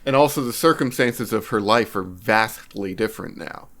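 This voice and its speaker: A man co-hosting a podcast in casual discussion, speaking in a measured, halting way as he thinks aloud. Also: on the low side, fairly bright tone. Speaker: podcast co-host.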